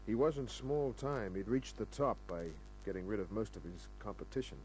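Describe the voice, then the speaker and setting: A man's voice: lowish voice, expressive, normal speed, a little mellow, soft background music, close to an everyday voice. An Australian man acting a wry, tough, flirtatious private investigator in a laconic 1950s radio crime drama style. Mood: matter-of-fact narrating. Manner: laconic, wisecracking. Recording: noisy old radio recording with hum.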